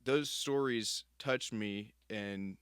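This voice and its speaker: A man hosting a podcast, chatting informally and somewhat haltingly with his guest. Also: on the low side, fairly bright tone, clear, resonant tone, no room sound, clean studio sound. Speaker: podcast host. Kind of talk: casual interview chat.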